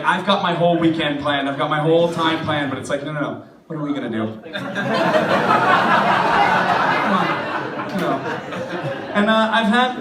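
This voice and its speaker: A man in his twenties with an American accent, hoarse from a cold, doing a rambling, self-mocking stand-up set in a bar. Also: a little high. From United States. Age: 20s. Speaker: stand-up comedian. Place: comedy club stage in a bar. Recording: echoey room recorded from a distance.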